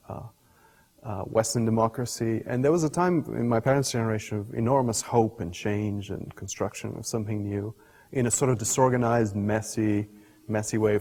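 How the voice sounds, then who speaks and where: A middle-aged man, a scientist explaining ideas in an interview in a thoughtful, halting way, often rephrasing mid-sentence. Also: fairly low pitch, vocal fry, mellow. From Portugal. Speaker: scientist and professor being interviewed. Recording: reverberant hall-like room echo.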